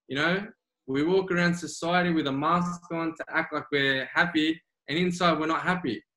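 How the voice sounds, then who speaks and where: A man's voice: on the high side, steady tone, moderate pace, pushed and bright. A man with an Australian accent, a guest coach in a remote interview, speaking earnestly and repeating his key phrases for emphasis. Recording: roomy echo.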